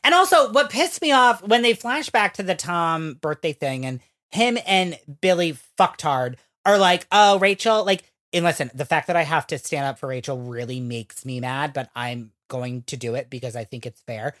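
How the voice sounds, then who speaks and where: Woman, 30s, podcast co-host, swearing rant, pitch swinging widely. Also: moderate pace, few pauses. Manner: animated, profane ranting with acted-out quotes. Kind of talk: casual reality-TV recap chat.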